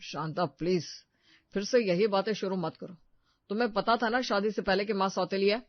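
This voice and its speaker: A man's voice: high voice, fairly even intonation, normal speed, dry recording, dull audio, lightly acted. A man playing a weary young husband, dismissive and placating, in a family drama story.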